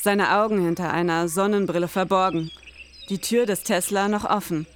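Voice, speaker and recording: A woman's voice: lowish voice, fairly even intonation, moderate pace, slight background noise, close to an everyday voice. A woman playing a detective who narrates a scripted audio drama in dry noir style. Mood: dry, observant. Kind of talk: scripted first-person narration.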